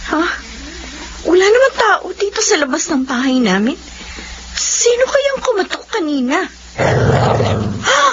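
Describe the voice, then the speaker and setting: Radio horror heroine: loyal young friend, pleading, very high voice, muffled noisy audio. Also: female voice, very animated, slow, long pauses, heavily acted. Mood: terrified. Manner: pleading, melodramatic. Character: remorseful, frightened young woman, loyal friend. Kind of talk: Filipino radio horror drama acting.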